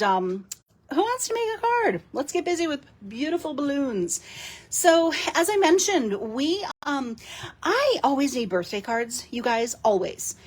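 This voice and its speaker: Hoarse raspy woman chatting and giggling through a craft demo, kinda high voice. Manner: chatty, giggly, rambling with fillers. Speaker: card-making demonstrator. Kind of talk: casual live craft demo.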